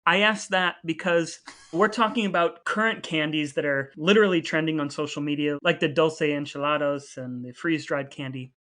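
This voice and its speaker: A man's voice: on the high side, steady tone, normal speed, hardly any pauses, pushed and bright. A man in his forties co-hosting a podcast, talking casually in a wry, explanatory way. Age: 40s. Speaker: podcast co-host. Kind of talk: casual conversational podcast talk.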